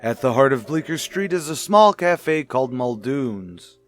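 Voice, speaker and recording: An American man narrating a mid-century radio mystery as announcer, deliberate and declamatory. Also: medium pitch, very animated, soft background music.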